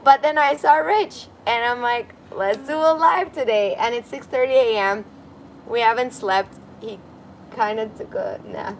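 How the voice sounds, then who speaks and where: Woman host, casual and giggly, higher pitch, outdoor street noise in the background. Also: measured pace, creaky. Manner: chatty, giggly, reads comments aloud. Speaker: livestream host. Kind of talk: casual livestream chat. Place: apartment balcony by a busy street. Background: street traffic.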